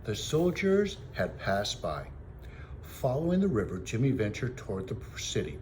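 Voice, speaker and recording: Low-voiced man reading a storybook. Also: very animated, normal speed, darkish tone, a little hiss or hum. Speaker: teacher reading aloud. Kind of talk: expressive storybook narration.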